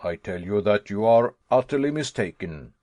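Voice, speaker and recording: A man reading an audiobook, voicing a calm older professor who speaks in a cool, measured, faintly amused way. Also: lowish voice, no room sound, studio quality, pitched below the performer's own voice, lightly acted.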